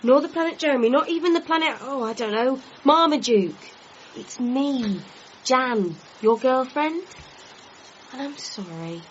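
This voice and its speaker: A patient, devoted girlfriend in a radio comedy drama. Her voice is high and she coaxes and reassures, over clear noisy ambience in a slightly dull recording. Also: expressive, a little slow. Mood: gentle, reassuring. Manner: reassuring, pleading. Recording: muffled, noisy ambience behind.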